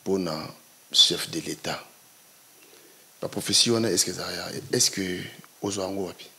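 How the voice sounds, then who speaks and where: A man's voice: low voice, moderate pace, bright, forceful tone. A man interviewing a guest, giving short murmured acknowledgements and prompts.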